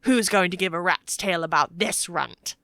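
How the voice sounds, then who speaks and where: A woman's voice: lowish voice, moderate pace, pushed and bright, no room sound, clean studio sound. A woman voicing a coarse, balding soldier man in an audiobook, with a blunt, rustic way of talking.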